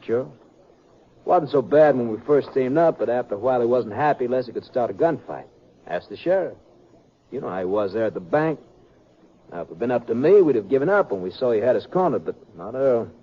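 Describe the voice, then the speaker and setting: An American actor playing a callous, drawling outlaw who makes folksy threats in a 1950s radio western. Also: male voice, mid-range voice, expressive, brisk, close to an everyday voice. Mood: casual, boastful. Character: callous armed outlaw, drawling, coolly menacing man. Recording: dull lo-fi 1950s radio recording.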